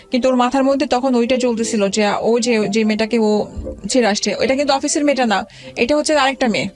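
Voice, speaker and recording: Bangladeshi female guest, middle-aged, personal storytelling at a quick clip, with broken-off words and restarts. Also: on the high side. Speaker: interview guest telling own life story. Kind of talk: personal storytelling in a radio interview.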